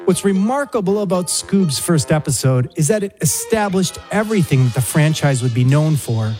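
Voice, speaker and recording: Higher-pitched male documentary narrator. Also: darkish tone. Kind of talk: scripted narration.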